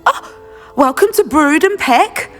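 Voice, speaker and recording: Gossipy shopkeeper woman, high and lively, brisk chatter, reverby space with faint music. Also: heavily acted. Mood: chatty. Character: chatty middle-aged female beast shopkeeper. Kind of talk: video game voice acting.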